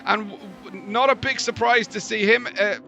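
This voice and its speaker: Gravelly-voiced guy calling a sim race on stream, bright punchy tone, slightly muffled audio. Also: high voice, normal speed, a little hiss or hum. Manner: chatty, laughing asides, excitable during race action. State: gravelly, tired voice. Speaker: race commentator. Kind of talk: sim racing live-stream commentary.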